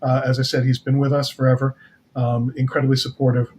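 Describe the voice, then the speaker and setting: A man's voice: mid-range voice, monotone, fast, a little mellow, a little hiss or hum. A man chatting casually as a guest writer and producer, talkative and given to explaining things. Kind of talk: casual chat.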